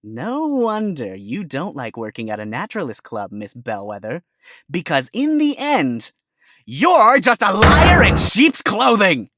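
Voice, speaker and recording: A woman's voice: fairly low pitch, wildly swooping pitch, measured pace, a bit bright, no room sound, exaggerated voice. Sly, theatrical fox attorney for a courtroom story female actor, sarcastic, with swooping intonation and a close dry sound. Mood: smug, accusing. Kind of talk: animated courtroom story acting. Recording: music behind the voice.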